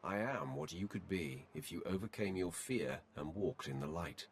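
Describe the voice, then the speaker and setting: A grave Sith warrior from a video game, with a very low voice full of gravel. He speaks formally and solemnly, in measured phrases. There is clear noise behind the voice. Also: lively intonation. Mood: solemn, admonishing. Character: deep-voiced Sith warrior confronting his solemn mirror-image light self. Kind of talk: video game dialogue acting.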